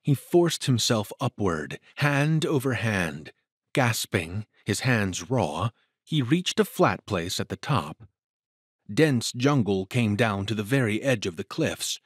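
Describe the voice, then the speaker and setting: A man narrating a dramatic story from a script in a measured, literary and expressive manner. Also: fairly low pitch, slightly rough, dry recording, studio quality.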